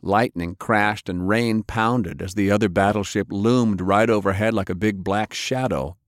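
A man with a low voice reads action fiction as an audiobook. His narration is dramatic and scripted, and the sound is dry, with hardly any room around it. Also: unhurried, no echo.

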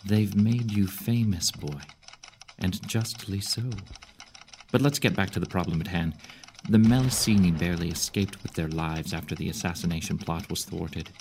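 Older general, very low pitch, shrewd, deliberate, authoritative, Roman-era audio drama, faint background noise. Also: male voice, a little mellow. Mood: shrewd, probing.

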